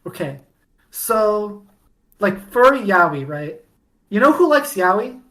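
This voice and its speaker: A woman chatting as a podcast guest, rambling with many restarts and sudden bursts of excitement. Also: medium pitch, takes it slowly. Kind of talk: casual podcast chat.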